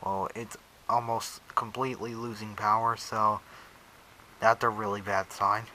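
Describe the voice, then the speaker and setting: A man's voice: fairly low pitch, flat delivery, measured pace, sharp tone, slight background noise, low-quality recording. A man casually showing off a radio in an unboxing vlog, rambling as he handles it and filling gaps with "so" and "uh". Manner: rambling, talks while handling the device, many "so" and "uh". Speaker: radio collector showing his gear.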